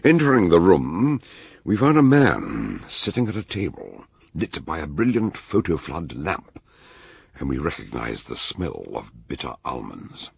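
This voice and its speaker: Deep, gravelly detective. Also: male voice, huge pitch swings, a little slow, heavily acted. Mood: grave narration. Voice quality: gravelly, husky. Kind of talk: radio mystery drama with first-person narration.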